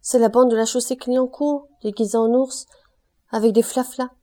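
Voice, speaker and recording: A woman reading aloud and voicing a delirious, shouting male roofer with a hoarse voice who curses and raves. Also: mid-range voice, a little slow, natural voice. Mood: frantic. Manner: raving, shouting, cursing.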